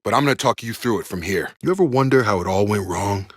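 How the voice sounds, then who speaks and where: A man's voice: lowish voice, animated, fast talker, slightly rough, dry recording. An actor playing an earnest, loyal male soldier in a video game, speaking plainly and sincerely as someone who protects his people. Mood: serious, reflective. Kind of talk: dramatic game dialogue voice lines. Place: dry studio recording.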